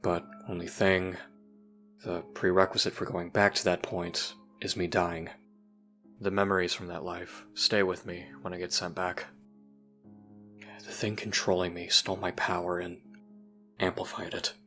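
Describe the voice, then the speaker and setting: A man's voice: deep voice, level tone, measured pace, long pauses, bright, forceful tone, faint music behind. A man acting in an audio roleplay as a jaded, sardonic human youth trapped in a time loop, talking conspiratorially and swearing casually.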